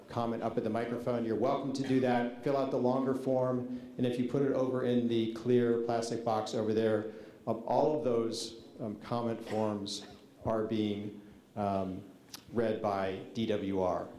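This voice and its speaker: A man's voice: medium pitch, flat delivery, measured pace, dark tone. A man facilitating a public meeting, laying out the ground rules informally and politely, with lots of ums. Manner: informal, many fillers, polite requests. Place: large public meeting hall. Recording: echoey meeting hall, faint noise.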